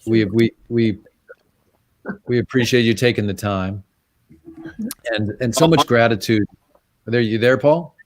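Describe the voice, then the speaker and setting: A man's voice: mid-range voice, no room sound. A man hosting a live online conversation, thanking his guests gratefully and often restarting his sentences over a video call. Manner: halting, grateful, repeats words while starting sentences. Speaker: event host.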